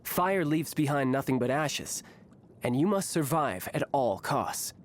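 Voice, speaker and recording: A man's voice: mid-range voice, expressive, measured pace, slight background noise, close to an everyday voice. An actor voicing a cocky, playful, battle-hungry young male warrior for a video game, with a breezy, boastful, theatrical delivery. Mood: playful, advising. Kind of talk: video game character voice lines. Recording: music behind the voice.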